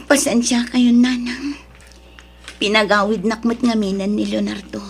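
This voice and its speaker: A woman's voice: a little high, fairly even intonation, unhurried, faint music behind, lightly acted. A woman with an Ilocano accent playing a sick, tearful, ashamed wife pleading with her family in an emotional melodrama. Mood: ashamed, subdued. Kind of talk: Ilocano radio-style melodrama acting.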